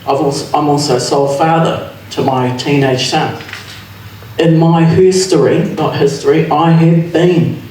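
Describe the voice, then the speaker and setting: A man's voice: on the high side, fairly even intonation, a little slow, darkish tone, slight background noise. A man, an election candidate speaking sincerely and personally at a public forum. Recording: echoey hall from far off.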